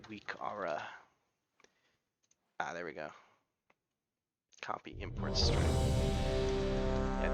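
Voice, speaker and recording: A man's voice: fairly low pitch, gravelly. A man with an American accent giving chatty, rambling gameplay commentary and often correcting himself. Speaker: gaming YouTuber.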